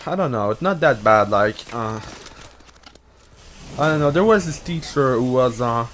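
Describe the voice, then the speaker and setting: A man's voice: mid-range voice, animated, normal speed, fairly bright tone, slight background noise. A young man with a Quebec French accent, partly Americanized, rambling in a casual vlog full of swearing, "uh" fillers and restarts. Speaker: YouTuber answering Reddit questions.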